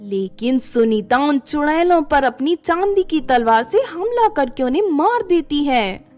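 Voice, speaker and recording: Woman, very high voice, scripted story narration, faint music behind. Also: big pitch swings, unhurried, darkish tone.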